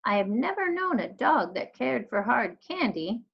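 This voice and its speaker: A woman reading the part of a gentle, wistful old lady librarian in a storybook, genteel and measured. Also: on the high side, big pitch swings, creaky, slightly rough, pitched above the performer's own voice, exaggerated voice. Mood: kindly, genteel. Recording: dull lo-fi recording.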